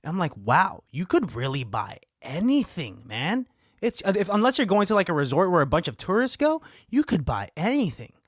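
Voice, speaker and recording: A man's voice: high-pitched, wildly swooping pitch, normal speed, slightly rough, no echo, studio quality. A young man co-hosting a podcast, talking casually and candidly and laughing easily. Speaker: podcast co-host.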